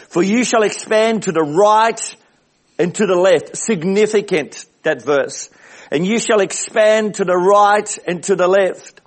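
A man preaches a sermon in a big, booming voice that sits high in pitch. He hammers his points home by repeating short phrases. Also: husky. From Australia. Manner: big booming voice, emphatic repetitions. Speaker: visiting preacher. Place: church service.

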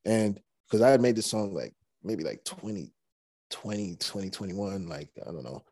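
A man's voice: sits low, normal speed, no room sound. A young man, a rapper, telling stories casually with lots of fillers and restarts. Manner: relaxed, rambling, many fillers and restarts. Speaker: rapper guest. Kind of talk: casual storytelling interview.

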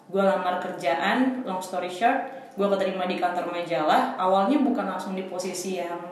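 A woman's voice: lowish voice, moderate pace. A woman in her twenties, a podcast guest casually telling her story in slangy talk with English phrases mixed in. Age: 20s. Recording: very echoey room with faint noise.

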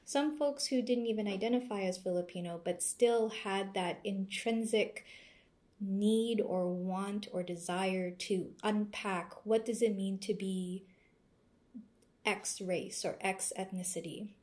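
A young woman speaks as an interview guest. She talks thoughtfully, pausing often as she searches for her words. Her tone stays casual and conversational. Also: medium pitch, a little mellow. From Canada. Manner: thoughtful, reflective, with searching pauses. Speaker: interview guest, former seminar student.

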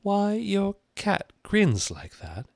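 Young storybook girl, done by a man, high lifted voice, polite, earnest, questioning, easily exasperated. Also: wildly swooping pitch, moderate pace, dry recording, studio quality. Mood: timid, polite. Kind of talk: storybook character reading.

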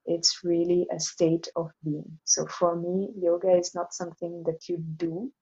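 A woman with an Indian accent talking reflectively as an interview guest, explaining things in a measured way. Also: fairly low pitch, level tone, warm tone, lo-fi sound. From Assam, northeast India. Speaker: interview guest, yoga teacher. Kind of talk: thoughtful podcast conversation.

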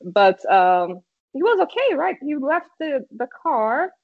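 A Brazilian woman speaking English as a second language, a podcast guest in casual chat, pausing often to search for words. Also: high voice, big pitch swings, no room sound. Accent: Brazilian Portuguese. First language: Portuguese. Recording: muffled lo-fi call audio.

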